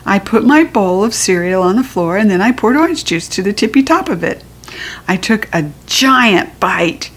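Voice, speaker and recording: A woman's voice: medium pitch, expressive, moderate pace. A woman with an American accent narrating a children's story expressively, with a playful, childlike delivery. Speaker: storybook reader.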